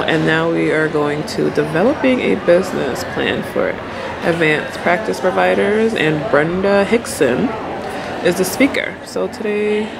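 A woman in her thirties, a nurse practitioner, chatting to viewers in a raspy voice from a cold. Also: deep voice, noisy background. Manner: chatty, addresses viewers directly. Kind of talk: casual vlog chat. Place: parked car and conference building. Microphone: handheld phone at arm's length.

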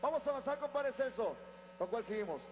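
A middle-aged man, emceeing a live concert. He talks to the crowd on a stage mic and lets out shouted calls and cheers. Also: very high voice, animated, fairly quick, a little hiss or hum. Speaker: band singer and MC. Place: large concert stage before a big crowd. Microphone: stage vocal mic. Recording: live concert sound with loud band. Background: loud live band music.

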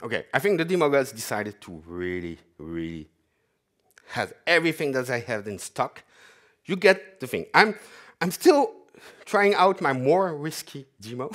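Lively, animated French-accented man on stage. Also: a little high. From half French, half Dutch. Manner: chatty, self-mocking, many restarts. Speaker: conference speaker, developer advocate. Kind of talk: live demo talk on stage. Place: large conference hall stage.

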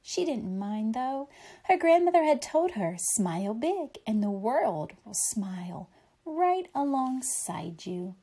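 A woman's voice: a little high, sing-song, takes it slowly, mellow. A woman reading a picture book aloud expressively for young children, explaining things simply like a teacher.

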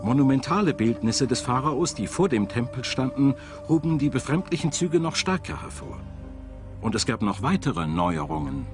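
A male documentary narrator with a standard German accent tells the story in measured, dramatic phrases. His medium-pitched voice moves expressively up and down, with music playing behind it. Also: expressive, gravelly. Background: background music.

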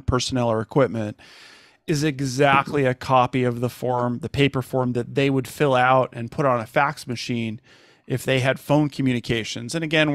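A man's voice: medium pitch, no echo, studio quality. A middle-aged man explaining radio procedures as a co-presenter on a video call. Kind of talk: explanatory talk.

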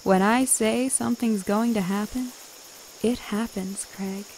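A woman's voice: mid-range voice, steady tone, unhurried, a little mellow, lightly acted, rough recording. A woman playing a seductive, commanding immortal witch in a retro radio drama, coaxing and self-assured. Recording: faint background noise and hiss.

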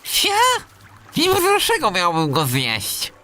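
Man putting on a high, swooping, gentle crocodile. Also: huge pitch swings, slow pace, soft background music, exaggerated voice. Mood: hurt, plaintive. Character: gentle misunderstood crocodile, lonely and modest.

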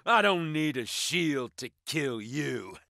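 A sadistic male game villain who relishes the hunt. His voice is fairly high and very animated, lurching between murmured taunts and shouts. He gloats slowly, savouring every threat. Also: gravelly, no room sound, clean studio sound, exaggerated voice. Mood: gloating, excited. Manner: taunting, gleeful, menacing, swinging from murmurs to shouts. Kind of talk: video game combat voice lines.

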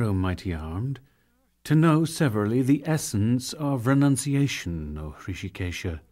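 A man narrating a sacred text as a dramatic, formal reading, solemn and measured in his declamation. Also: lowish voice, a little mellow.

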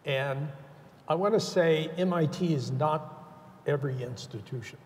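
An older man speaking as a panelist in a measured, deliberate way with hesitations, on an auditorium stage. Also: a little high. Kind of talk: panel discussion. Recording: reverberant auditorium sound.

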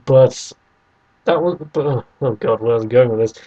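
A man's voice: mid-range voice, normal speed, dark tone, muffled recording. A man co-hosting a podcast, casually arguing about video games and restating his points over an internet call. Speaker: podcast co-host.

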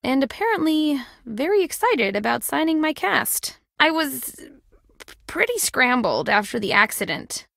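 Mystery game casting: friendly, keen young female sidekick, lightly high voice, dry studio sound. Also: very animated, measured pace, vocal fry, fairly bright tone, dry recording, heavily acted. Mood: eager. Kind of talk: scripted video game voice acting.

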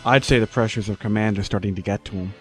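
Brisk, reasonable male crewman actor, a bit of a wisecracker, audio drama. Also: on the low side, steady tone, soft background music, natural voice.

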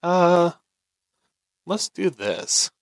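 A man's voice: high voice, very animated, very slow, vocal fry. A man giving casual game commentary, thinking aloud in short phrases. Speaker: gameplay streamer.

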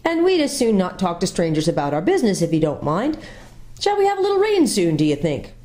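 A woman's voice: fairly low pitch, wildly swooping pitch, fairly quick, dark tone. A woman voicing a gloomy, cautious male marsh creature who guides the children. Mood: guarded. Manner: stiff and guarded, pessimistic.

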